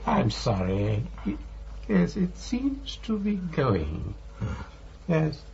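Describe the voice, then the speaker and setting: An actor playing a vague, forgetful old man in a radio drama, creaky and halting, bewildered and repeating himself. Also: fairly high pitch, wildly swooping pitch, darkish tone, heavily acted. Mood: groping for memory. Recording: dull radio recording, faint noise and hum.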